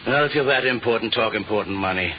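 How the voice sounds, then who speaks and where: A man acting as a brusque, obsessive private detective with a low voice. He talks in terse, imperious, cryptic lines in old radio drama style. The recording is muffled, with faint hiss. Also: fast, natural voice. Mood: brusque, demanding.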